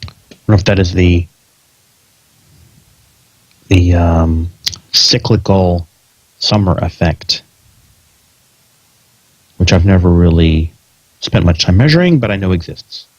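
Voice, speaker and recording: Male podcast host, American, middle-aged, lowish voice, informal chat, slightly muffled sound. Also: slow, long pauses, dry recording. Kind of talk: casual pre-show chat.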